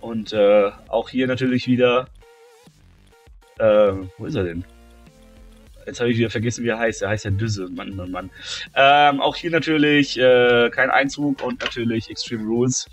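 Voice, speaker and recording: A man with a cold, commentating a video game wrestling tournament, shouting at the big moments and calmer between them. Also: moderate pace, soft background music. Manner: shouts and gets high-pitched at big moments, calmer when tallying scores. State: has a cold, slightly hoarse. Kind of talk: excited play-by-play commentary.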